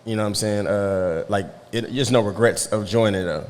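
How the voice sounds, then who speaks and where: A man with a low voice hosts a relaxed podcast interview. He talks in easy slang and keeps checking in with his guest. His voice rings out into a reverberant room. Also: moderate pace, smooth. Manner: laid-back, slangy, keeps asking "you know what I'm saying". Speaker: podcast host. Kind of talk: casual interview chat.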